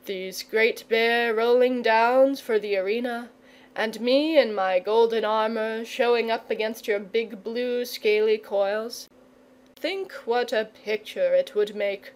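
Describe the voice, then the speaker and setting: A woman's voice: on the high side, fairly even intonation, slow pace. An earnest storybook knight, voiced by a woman narrator, courteous and sure of himself. The delivery is patient and persuasive, with a thoughtful air. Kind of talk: storybook character reading.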